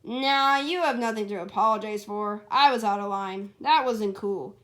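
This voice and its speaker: A woman's voice: mid-range voice, normal speed, a bit bright, close to an everyday voice. A woman voicing a cocky but awkward young male hedgehog hero in a storybook, stammering and boastful.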